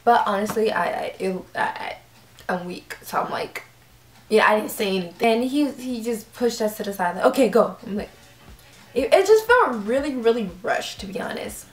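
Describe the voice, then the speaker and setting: A young woman vlogger telling a story casually, acting out her own reactions with lively quoted voices. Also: a little high, normal speed. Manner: animated, acts out quoted reactions. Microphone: camera mic. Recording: roomy echo.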